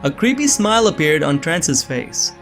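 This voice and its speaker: A man's voice: a little high, sing-song, moderate pace, fairly bright tone, faint music behind. A man playing an uneasy, earnest young man who narrates his own suspense story in a visual novel. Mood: shocked, anxious. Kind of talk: first-person scripted visual novel narration and dialogue.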